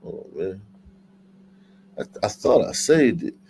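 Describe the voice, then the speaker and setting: A man's voice: lowish voice, sing-song, measured pace, darkish tone. A man hosting a casual livestream news commentary, talking bluntly with profanity and street slang. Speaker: show host. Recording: noticeable background noise.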